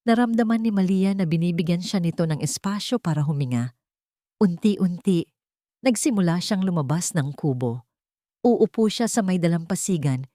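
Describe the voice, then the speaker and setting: A woman's voice: lowish voice, warm tone, dry recording, studio quality. A woman reading a scripted romance story as a dramatic narrator.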